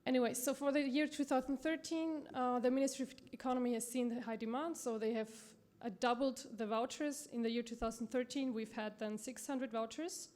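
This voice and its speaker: A woman from Austria with an Austrian accent, giving a conference presentation in a halting way with many fillers and self-corrections. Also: on the high side, fairly even intonation, darkish tone. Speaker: conference speaker from a promotional bank.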